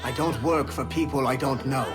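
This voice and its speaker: A man's voice: mid-range voice, moderate pace, music in the background, natural voice. A man playing a terse, intense mercenary father in a video game, protective and defiant. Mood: guarded, grim. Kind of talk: dramatic video game cutscene acting.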